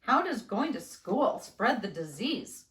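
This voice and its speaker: A woman's voice: fairly high pitch, big pitch swings, takes it slowly, fairly bright tone, slight background noise, exaggerated voice. A woman voicing a curious, slightly worried young girl in a children's storybook, with earnest questions. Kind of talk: children's storybook read-aloud. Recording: roomy, echoing indoor sound.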